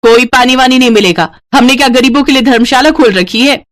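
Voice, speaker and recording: A woman's voice: high-pitched, fairly quick, no room sound, pitched above the performer's own voice, close to an everyday voice. A woman voicing an arrogant rich mistress of the house in a moral story, scolding sharply and haughtily. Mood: haughty anger.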